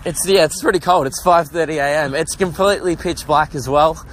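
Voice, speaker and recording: A man's voice: on the high side, brisk, a bit bright, slight background noise. An Australian man hosting his podcast while walking, out of breath, chatting casually in a rambling, jokey, self-mocking way. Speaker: podcast host. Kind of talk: casual walking vlog chat. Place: outdoors, walking along suburban roads near traffic.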